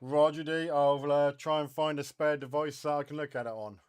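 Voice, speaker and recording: An English man chatting casually as a CB radio operator, halting and repeating his words. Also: on the high side, fairly even intonation, clear, resonant tone. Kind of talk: casual radio chat between hobbyists.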